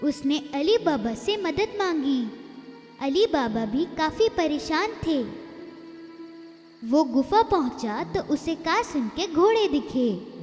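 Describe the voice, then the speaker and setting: A woman narrating a children's moral tale in a slow, expressive storybook manner. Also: sits high, big-room sound.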